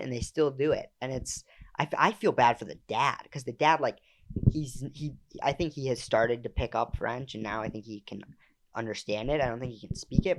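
A young man co-hosting a podcast in casual chat, talking in quick bursts and acting out quoted voices. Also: medium pitch. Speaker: podcast co-host.

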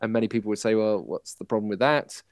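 A man from Manchester, England, telling his personal story as a podcast guest in a halting, reflective manner. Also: lowish voice, no room sound, studio quality. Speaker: podcast guest, musical writer.

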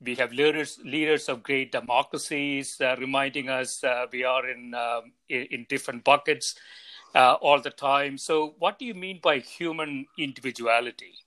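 A man's voice: mid-range voice, steady tone, moderate pace, pushed and bright. A man hosting a podcast, reading a set introduction and then talking casually with many hesitations. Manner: hesitant, many fillers in conversation. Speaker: podcast host.

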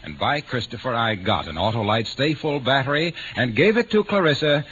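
An American man delivering a comic old-time radio sponsor pitch as jaunty, theatrical, folksy storytelling. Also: animated, brisk, a bit bright. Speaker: radio commercial announcer. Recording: dull, noisy old radio recording.

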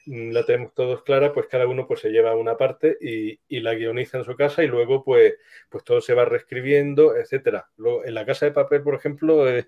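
A man with a Spain Spanish accent talks in a relaxed interview register. His voice sits at an average pitch and the sound is a little muffled. He explains step by step, hesitating as he goes. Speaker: screenwriter being interviewed. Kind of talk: casual interview talk.

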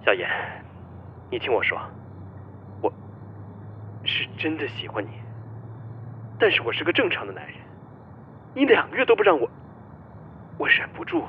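Possessive, wildly animated spurned lover. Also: male voice, fairly high pitch, normal speed, long pauses, dull audio, slight background noise. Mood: pleading. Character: pleading, possessive male boss, obsessive spurned ex-lover. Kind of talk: melodramatic Chinese audio drama acting.

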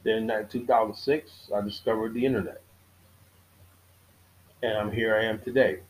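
A middle-aged man hosting his own podcast, giving a casual monologue with a preachy tone, repeating key lines for emphasis, often halting and restarting. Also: fairly low pitch, fairly even intonation, slight background noise, poor audio. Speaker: podcast host.

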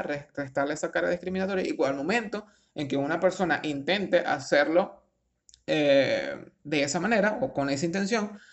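A young Venezuelan man with a Venezuelan accent, casually commenting on a news article, rambling with restarts and slang. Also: a little high. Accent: Venezuelan Spanish.

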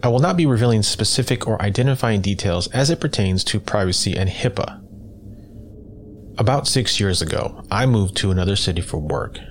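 A man reading a first-person scary story as a scripted narration, in a measured, matter-of-fact way. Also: fairly low pitch. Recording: clear background noise.

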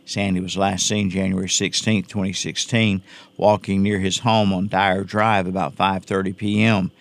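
Low-pitched man reading local news. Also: level tone, moderate pace, creaky. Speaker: local radio news reporter.

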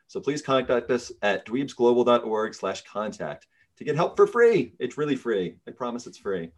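A male podcast host with a voice of medium pitch. He chats casually and jokes along, laughing often with his guest. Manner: laughs often, friendly and joking. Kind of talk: casual interview chat.

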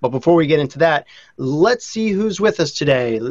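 Brief: male webcast host, higher voice, upbeat, dry muffled audio. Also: lively intonation, normal speed, dry recording. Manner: upbeat, welcoming. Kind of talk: webcast opening.

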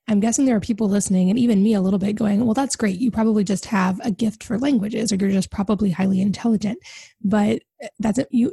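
A woman's voice: medium pitch, gravelly, dark tone, husky, dry recording, studio quality. A woman hosting a podcast, asking curious, thoughtful questions. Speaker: podcast host. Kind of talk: interview questions.

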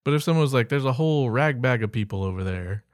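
A man's voice: mid-range voice, animated, fast talker, no echo, studio quality. A man co-hosting a podcast in casual, humorous chat, reading out words and rambling into offhand asides. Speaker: podcast co-host.

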